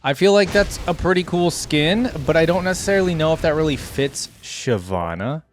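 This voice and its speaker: A man's voice: a little high, expressive, normal speed, a little hiss or hum. A man giving casual reaction commentary on video game character skins, thinking aloud as he goes. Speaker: reaction channel host.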